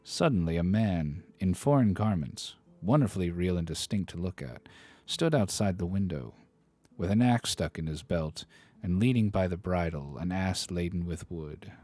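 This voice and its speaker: A man's voice: low voice, animated, normal speed, dark tone. A man reading a classic novel aloud on a live stream, narrating dramatically with self-deprecating asides. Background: faint study music.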